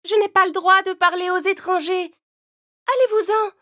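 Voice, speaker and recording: Woman playing a high-voiced timid young maiden. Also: fairly even intonation, normal speed, pushed and bright. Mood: anxious. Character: timid young maiden locked in a tower, anxious, obedient. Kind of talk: children's cartoon fairy tale acting. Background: music.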